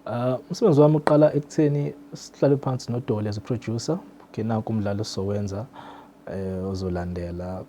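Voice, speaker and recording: A man's voice: fairly low pitch, steady tone, quick pace, warm tone, slight background noise. A South African man, a native Zulu speaker, casually explaining his technical production work in an interview. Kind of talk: casual explanatory interview answers, mixing languages. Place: radio drama studio.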